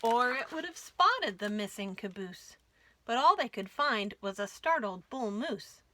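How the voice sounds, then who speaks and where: Female storytime reader, higher-pitched and bright, dry close sound, expressive delivery of rhyming children's verse. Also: a little slow, a little hiss or hum. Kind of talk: expressive read-aloud of a rhyming picture book.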